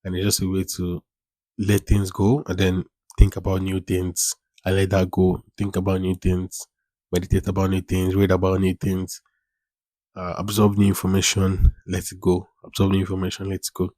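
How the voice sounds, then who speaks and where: Low flat-voiced young man. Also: moderate pace, no echo. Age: 20s. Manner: rambling, repeats phrases, informal slang. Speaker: podcast host. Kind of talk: casual solo podcast monologue.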